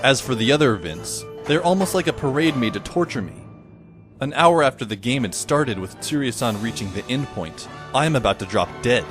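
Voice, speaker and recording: A man's voice: medium pitch, expressive, brisk, music in the background, natural voice. A man playing a wry, put-upon teenage boy, narrating in the first person for an audio drama. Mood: sardonic, weary.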